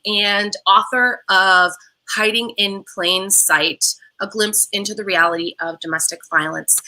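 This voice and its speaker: Very bright, upbeat woman hosting. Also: mid-range voice, low-quality recording. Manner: upbeat and welcoming, with scripted-sounding introductions. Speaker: talk show host. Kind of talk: online talk show hosting.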